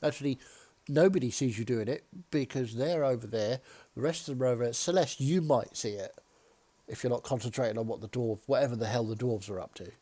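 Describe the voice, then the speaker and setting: A man with a husky, slightly worn voice runs a tabletop game as dungeon master. He calls turns, asks for checks and teases his players in a dry way. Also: mid-range voice, brisk, warm tone.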